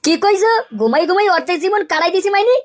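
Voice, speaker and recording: A man voicing a lazy, irritable grown son in a comic cartoon, grumbling and swearing in a pitched-up voice. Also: animated, fast. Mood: indignant.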